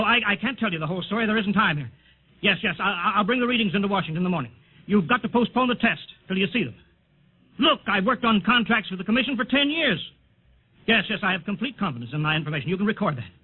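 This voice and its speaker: Harried base chief, high rough voice. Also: male voice. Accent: American. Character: harried air base project chief, pleading and authoritative. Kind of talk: 1950s American radio drama acting. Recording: tinny, muffled 1950 radio recording.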